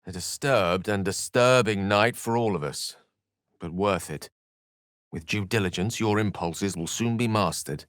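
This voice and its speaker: A male actor plays a learned, self-possessed wizard from a fantasy video game. His voice sits a little low in a dry, studio-clean space. He shapes ornate phrases with care, theatrical flair and dry wit. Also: no room sound, natural voice. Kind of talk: theatrical game dialogue in short separate lines.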